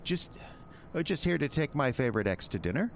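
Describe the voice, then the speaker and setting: An actor playing an unstable, clingy, self-pitying ex-boyfriend in an audio drama, halting and stammering as he wheedles. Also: on the high side, very animated, vocal fry, a little hiss or hum. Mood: drunk, pleading.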